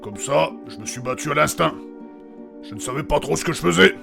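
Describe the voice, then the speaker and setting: A man's voice: fairly high pitch, animated, brisk, vocal fry. A man playing a foul-mouthed, hot-tempered warrior in a French fantasy comedy audio drama, with crude, blustering delivery. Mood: gruff, explaining. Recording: dramatic music behind the voice.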